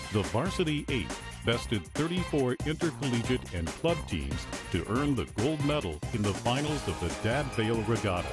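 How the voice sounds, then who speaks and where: A man with an American accent reading a scripted newsreel narration. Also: fairly low pitch. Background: music bed.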